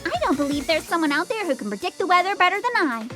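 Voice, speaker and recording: Very high-pitched quirky, perky girl. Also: sing-song, quick pace, music in the background. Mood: confident, cheerful. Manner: bubbly, chatty, emphatic. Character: quirky, perky, opinionated schoolgirl obsessed with weather. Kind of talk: audio drama character acting.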